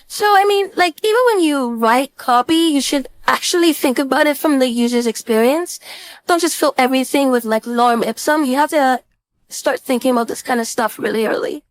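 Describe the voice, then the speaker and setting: A woman with a high voice leads a casual software tutorial as an instructor. She talks informally and thinks aloud as she works through each step. The recording is close and dry, with very little room sound. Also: brisk, no echo. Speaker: design instructor.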